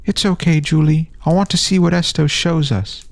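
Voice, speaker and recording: Little tagalong boy voiced by a man, much higher pitch, earnest, stubborn, fantasy audiobook. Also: moderate pace, gravelly. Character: small, eager, stubborn younger boy tagging along.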